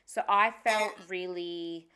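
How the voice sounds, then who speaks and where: A woman's voice: on the low side, fairly even intonation, slow pace, bright, forceful tone, smooth. A woman whose first language is Spanish, co-hosting a casual podcast, thinking aloud candidly and swearing freely. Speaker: podcast co-host.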